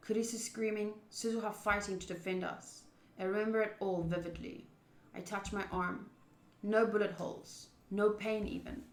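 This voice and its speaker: A young woman streamer reading a visual novel aloud and chatting informally and expressively with viewers. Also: mid-range voice. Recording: roomy echo.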